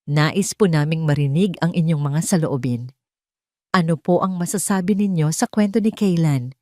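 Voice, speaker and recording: A woman with a Filipino accent narrating a sentimental scripted story in a measured, earnest way. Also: low voice, a little mellow, no room sound, clean studio sound. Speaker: narrator and host of an audio story channel.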